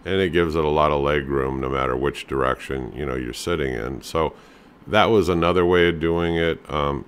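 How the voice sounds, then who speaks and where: Very deep-voiced man explaining welding projects. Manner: relaxed, plain-spoken, fillers like "you know" and "um". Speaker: home handyman host. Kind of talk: casual instructional talk over photos.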